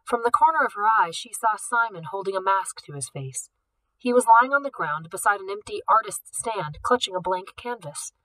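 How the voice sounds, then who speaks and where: A woman reading a novel aloud, steady and expressive. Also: medium pitch, rough recording. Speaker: audiobook reader.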